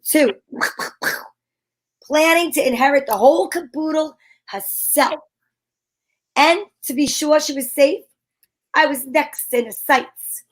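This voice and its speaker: A woman playing a sharp-witted, wisecracking private detective in an old-time radio drama, talking in breezy slang. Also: sits high.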